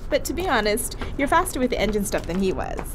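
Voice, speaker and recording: A woman's voice: mid-range voice, sing-song, fast, noisy background. A woman playing a chatty, teasing female crewmate who laughs easily. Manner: bubbly, teasing, laughs often. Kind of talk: audio drama acting.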